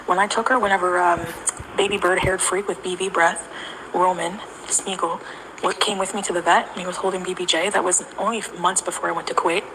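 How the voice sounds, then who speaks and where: A woman's voice: fairly low pitch, little pitch movement, a little fast, noisy background. A woman livestreaming, talking in a rambling, profane way to her chat.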